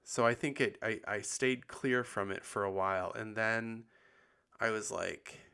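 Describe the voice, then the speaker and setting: Husky, gravelly-voiced man rambling casually. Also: fairly low pitch, a little slow, sharp tone. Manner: rambling, full of likes and ums. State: husky and tired after bronchitis. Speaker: podcast host. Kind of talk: casual confessional chat.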